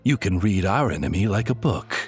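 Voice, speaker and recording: A man playing a composed, flattering accomplice in an anime game, speaking in measured, courteous phrases. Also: fairly low pitch, soft background music, natural voice.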